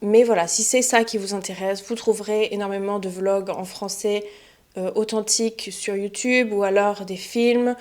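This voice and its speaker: A woman's voice: mid-range voice, steady tone, moderate pace, darkish tone. Young french teacher lady, chatty, lots of ums and restarts, easygoing lesson. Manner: chatty, many fillers and self-corrections. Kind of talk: relaxed spoken lesson for learners.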